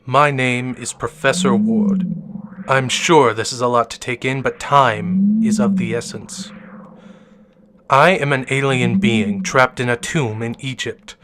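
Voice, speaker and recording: A man's voice: mid-range voice, measured pace, noisy background, natural voice. A man playing a mysterious alien professor in an audio drama, speaking in a formal, theatrical way. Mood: solemn, urgent. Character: mysterious alien professor, a glowing hologram head, urgent and welcoming.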